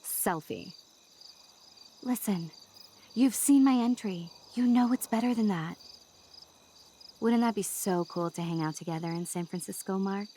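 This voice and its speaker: A woman's voice: medium pitch, unhurried, pauses often, dark tone, a little hiss or hum, natural voice. Coy, scheming teenage mean girl, woman acting. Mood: coy, pushy. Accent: American. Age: young adult. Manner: coy, haughty. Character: scheming, entitled, manipulative rich-girl student. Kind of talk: video game voice acting.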